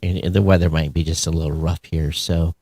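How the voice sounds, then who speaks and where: A man's voice: a bass voice, fairly even intonation, fairly quick, a little mellow, dry recording, studio quality. An American man chatting casually and unscripted as a podcast co-host, in a rambling, conversational way. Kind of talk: casual unscripted show chat.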